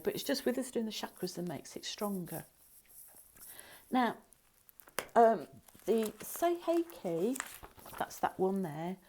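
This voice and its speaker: A middle-aged woman talks students through a lesson. Her voice is mellow and warm, and her pitch sits in the middle. She rambles a little, with asides and fillers. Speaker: Reiki teacher. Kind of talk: recorded course lesson.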